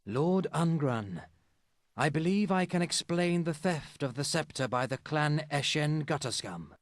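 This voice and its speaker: An actor playing a solemn, scholarly male elf in a fantasy video game, speaking formally and with measured gravity. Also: on the high side, animated, dry recording, studio quality.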